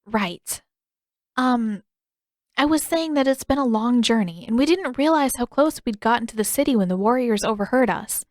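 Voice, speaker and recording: A woman's voice: fairly high pitch, animated, moderate pace, a little mellow, no echo, clean studio sound, pitched above the performer's own voice, natural voice. A woman voicing an earnest, determined teenage girl in a story, speaking politely and carefully, with a pleading manner.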